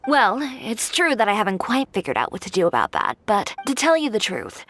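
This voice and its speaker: A woman's voice: mid-range voice, wildly swooping pitch, a little fast, a bit bright, slight background noise. A woman voicing a proud, headstrong young woman tailor who speaks in a cool, confident and frank way. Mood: determined. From Inazuma.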